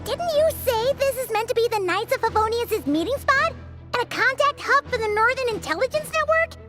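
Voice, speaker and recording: A woman voicing a small, childlike, blunt and excitable companion with a squeaky voice in a video game. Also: a little fast, over loud music. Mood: puzzled.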